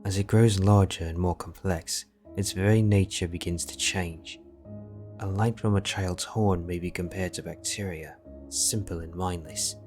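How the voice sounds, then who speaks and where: A man narrating a fantasy fanfiction audiobook in a measured, solemn manner. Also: sits low, steady tone. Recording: soft background music.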